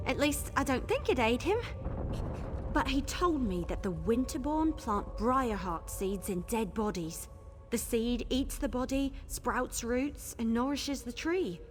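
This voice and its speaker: A woman's voice: on the high side, a bit bright. A woman playing a flippant, apologetic young elf soldier in a video game, chatty and hedging. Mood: casual, evasive. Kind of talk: video game dialogue acting.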